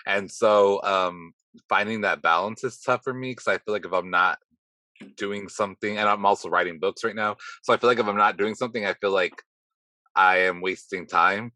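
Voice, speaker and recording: A man hosting a podcast interview, slightly hoarse, chatty and self-deprecating as he rambles through his questions. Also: on the low side, fairly even intonation, moderate pace, pushed and bright, no room sound. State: slightly hoarse from heavy talking. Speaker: podcast host. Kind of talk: casual interview chat.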